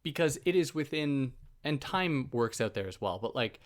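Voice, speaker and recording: A man's voice: medium pitch, expressive, normal speed. A man hosting a philosophy podcast, explaining ideas in a casual, chatty way, joking at himself and wandering off on side points. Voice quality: soothing voice. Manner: chatty, self-deprecating, goes off on tangents.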